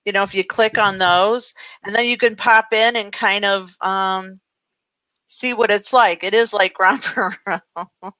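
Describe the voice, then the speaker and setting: A woman's voice: medium pitch, fairly even intonation, measured pace, pushed and bright, no echo. A woman hosting a casual live video chat, talking off the cuff in a chatty, welcoming way. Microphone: live group video call.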